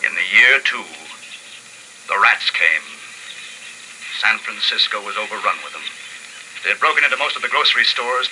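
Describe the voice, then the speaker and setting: An actor playing an earnest, educated young male survivor who narrates his story deliberately and dramatically in 1950s radio drama style. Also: medium pitch, noisy background, lightly acted. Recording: old radio broadcast with music behind.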